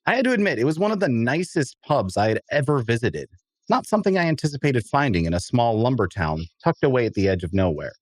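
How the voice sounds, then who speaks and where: A man's voice: medium pitch, very animated, fast, no echo. A man playing a wry, observant adventurer who narrates his own fantasy story at an audio drama table read. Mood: wry, reflective.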